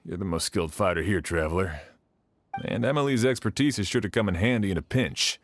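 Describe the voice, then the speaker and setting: An actor playing a serious, businesslike sheriff in a video game, formal and authoritative. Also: male voice, low-pitched, a little fast, slight background noise. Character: serious, businesslike male sheriff of night watch. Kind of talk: video game character acting.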